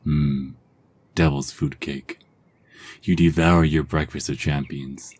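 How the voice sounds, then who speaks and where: A man narrating a second-person ASMR story in a calm, soft-spoken, husky voice. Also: rumbling low, takes it slowly, slight background noise.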